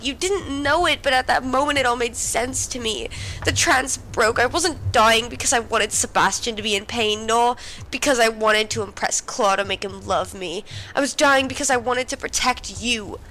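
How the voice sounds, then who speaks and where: A woman's voice: a little high, moderate pace, pushed and bright, rough recording. A woman playing an earnest, wounded, devoted boy in an audio drama, speaking imploringly and intimately. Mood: confessing, urgent. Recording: faint background noise and ambience.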